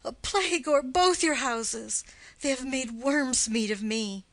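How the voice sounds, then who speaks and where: A woman's voice: high voice, slow, fairly bright tone, heavily acted. A woman playing a wounded young swordsman in a classical play, bitterly joking and theatrical. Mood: bitter, cursing. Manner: exclamatory, sardonic, theatrical. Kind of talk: classical stage-play reading.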